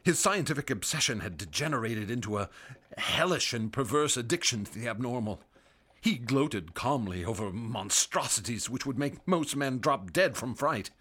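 An actor playing a haunted doctor who narrates gravely and grows excited in scenes. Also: male voice, medium pitch, moderate pace. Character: frightened male doctor assistant and narrator. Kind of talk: radio drama narration.

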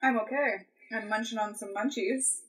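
A woman's voice: fairly high pitch, moderate pace, a bit bright, low-quality recording. Rambling woman in her twenties. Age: 20s. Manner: rambling, full of "like" and "um". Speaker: podcast co-host. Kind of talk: casual chat.

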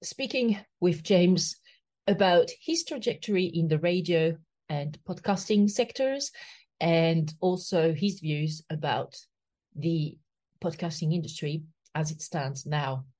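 A woman's voice: sits low, slow pace, warm tone. A woman hosting her podcast in casual interview style, speaking hesitantly with many fillers and restarts. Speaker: podcast host. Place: home in London.

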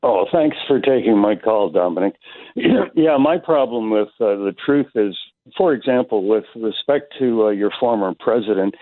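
A man's voice: on the low side, dry recording. A man from Canada calling a radio show, argumentative, who keeps talking over the host. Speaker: caller. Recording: tinny phone line.

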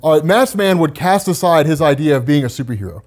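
A man in casual banter with friends, improvising silly comic-book stories, animated and playfully defensive. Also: a little high, quick pace.

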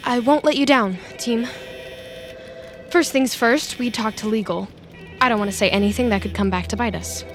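Woman playing calculating female office schemer, fairly high voice, commanding, theatrical, articulate, audio drama, music behind. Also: normal speed, natural voice.

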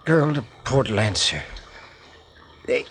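An actor playing a dying wounded soldier in a 1940s radio drama, whispering his words out in halting, breathless pieces. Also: medium pitch, sing-song, fairly bright tone, slight background noise. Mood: gasping urgency.

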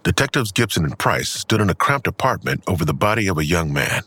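A man with a raspy voice delivering scripted dramatic narration for an audio drama. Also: low-pitched, very animated, brisk, pushed and bright, no echo, full character voice.

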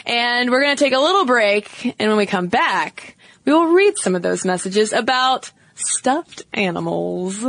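A woman's voice: a little high, lively intonation, unhurried, a bit bright, no echo. A woman co-hosting a podcast in casual chat, thoughtful and hedging with fillers as she talks. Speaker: podcast co-host.

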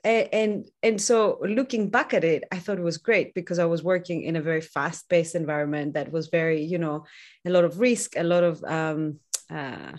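A woman from Greece in her thirties, a jet-lagged guest on a video-call interview, chatty and quick to laugh at herself. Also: fairly low pitch, fairly even intonation, a little mellow. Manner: chatty, self-deprecating, laughs easily. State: jet lagged, short on sleep. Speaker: guest, entrepreneur. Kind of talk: casual podcast interview.

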